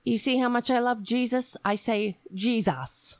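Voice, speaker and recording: A woman hosting a casual political talk show, rambling and sarcastic, hoarse because she is losing her voice. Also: fairly high pitch, unhurried, no echo.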